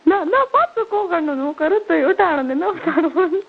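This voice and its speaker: Female caller, Marwari accent, high voice, informal private conversation over a narrow phone line. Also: expressive, moderate pace. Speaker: caller on a personal phone call. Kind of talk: casual intimate phone chat. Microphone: telephone line. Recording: thin, band-limited phone call recording.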